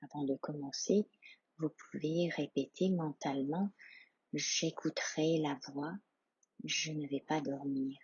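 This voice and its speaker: A woman leading a guided yoga nidra meditation as instructor, hushed, calm and soothing, leaving long pauses between short phrases. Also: low voice, husky, dry recording, muffled recording.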